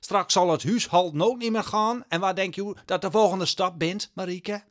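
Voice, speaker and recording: Audio drama role: man acting old village father, rustic, halting, exasperated, slightly raised male pitch. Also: lightly acted. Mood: exasperated, scolding. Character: worried, pleading old peasant father of stubborn daughter.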